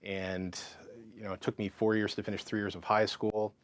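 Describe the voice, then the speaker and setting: A man in his thirties tells his story as an interview guest, talking in a frank, lively way. His voice sits low, and the sound is dry and close. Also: normal speed, dry recording. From Canada. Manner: frank and lively, full of fillers and restarts. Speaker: counselor and psychotherapist, interview guest.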